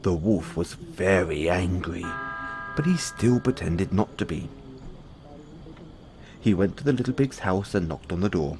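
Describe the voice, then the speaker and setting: Lively low-voiced man reading bedtime stories. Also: a little slow, long pauses, over music. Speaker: audio roleplay performer. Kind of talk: bedtime storytelling.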